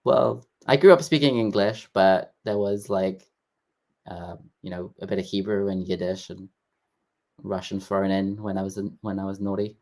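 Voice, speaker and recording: Low-voiced man, halting and explanatory. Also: fairly even intonation, a little mellow. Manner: halting, explanatory, restarts often. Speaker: guest. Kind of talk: casual interview chat.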